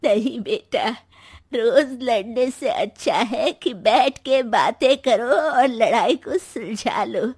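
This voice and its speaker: A woman voicing a sorrowful, wry old mother and grandmother in a moral story, plaintive and gently sarcastic. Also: on the high side, slow pace, husky, dry recording, clean studio sound, full character voice. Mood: sorrowful, pleading.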